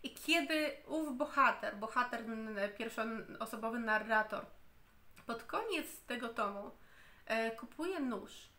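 Woman in her 30s, book reviewer, slow deliberate delivery, bright tone, home camera recording. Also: a little high, animated. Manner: slow and deliberate, with frequent hesitations and restarts. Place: home room, indoors.

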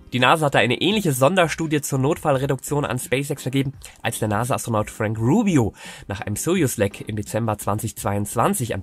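A man in his thirties with a cold, presenting space news in a clear, explanatory scripted style from a studio. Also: medium pitch. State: has a cold. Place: studio with rocket set backdrop.

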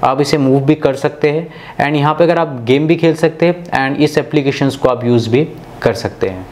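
A man's voice: a little high, darkish tone. A man from India, narrating a tech tutorial in an instructional, step-by-step way. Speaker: tech video host.

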